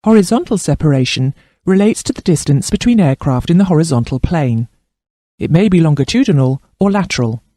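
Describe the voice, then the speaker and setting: A man narrates a training course in a fairly high voice with a soft, mellow tone. His delivery is formal and precise, with plenty of melodic movement as he reads the scripted instruction. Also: animated, fairly quick, no room sound, studio quality.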